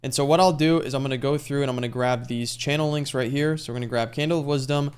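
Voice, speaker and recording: Young male online coach, quick and smooth, slightly high voice, casual salesy tutorial patter. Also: fairly even intonation. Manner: fast, casual, salesy talk with frequent "like". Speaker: YouTube growth coach. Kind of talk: screen-share tutorial.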